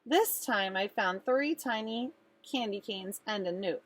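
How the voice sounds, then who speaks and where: A woman's voice: mid-range voice, measured pace. A woman teacher reading a children's storybook aloud expressively, speaking directly to young listeners.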